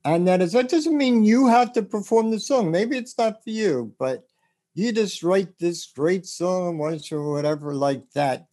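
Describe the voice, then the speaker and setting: A man hosting a podcast about the music business, rambling and animated as he gives advice, with a rough voice. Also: sits high, a little slow. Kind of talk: casual advice chat.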